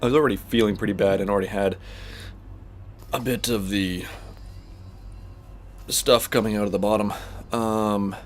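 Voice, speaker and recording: A man's voice: fairly low pitch, steady tone, normal speed, pauses often, a little hiss or hum. A man hosting a gaming channel, chatting casually while he plays, rambling with lots of fillers and a slightly stuffed-up voice. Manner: rambling, heavy on fillers and restarts. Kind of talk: casual chat while playing a space game.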